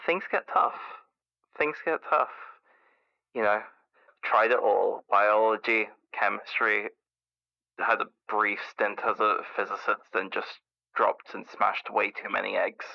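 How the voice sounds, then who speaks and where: Through a dull lo-fi phone filter comes a man playing an anxious male scientist calling into a radio drama. His lowish, gravelly voice rambles nervously and repeats itself, full of self-doubt. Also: fairly even intonation, unhurried. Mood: anxious, rambling. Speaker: radio caller. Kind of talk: improvised call-in radio acting. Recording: muffled lo-fi caller line.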